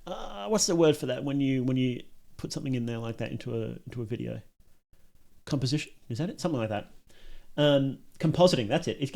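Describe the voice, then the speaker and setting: A man's voice: mid-range voice, darkish tone. A man hosting a reaction livestream, talking informally to his chat as he thinks aloud and judges, with some mild swearing. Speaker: livestream host reacting to and judging short films. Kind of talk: casual livestream commentary to chat.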